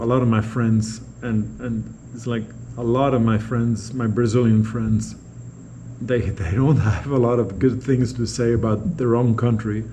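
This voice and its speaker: A man's voice: medium pitch, mellow, slight background noise. A man from Norway, a native Norwegian speaker, talking casually and unscripted as a vlogger, rambling and repeating words as he goes. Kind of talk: casual unscripted vlog replies to viewer comments.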